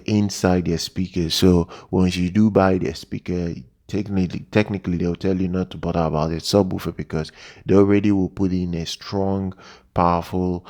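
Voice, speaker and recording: A man with a low-pitched voice presents a product overview without a script. His intonation stays quite monotone. He speaks haltingly, doubling back on words and phrases as a reviewer thinking aloud. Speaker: tech reviewer, channel host.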